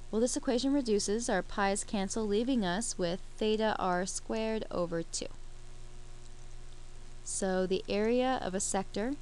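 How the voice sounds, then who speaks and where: A woman's voice: mid-range voice, darkish tone, slight background noise, low-quality recording. A woman teaching a math lesson over a screen recording, explaining each step while she works the problem.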